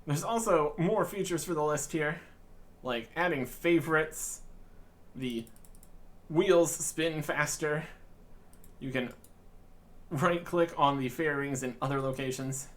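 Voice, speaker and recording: A man's voice: a little high, slow, long pauses, a bit bright. A man giving casual, rambling gameplay commentary in an understated way. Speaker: video game let's player.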